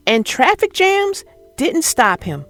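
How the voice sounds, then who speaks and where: Animated high-pitched woman reading a children's story. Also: slow pace, soft background music. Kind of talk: expressive read-aloud of a picture book.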